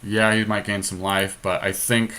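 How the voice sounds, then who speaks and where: A man's voice: fairly low pitch, flat delivery, normal speed, bright, forceful tone. A man giving casual commentary on an online card game, thinking aloud in a resigned, matter-of-fact way. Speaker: podcast host. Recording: noisy amateur screen-capture recording.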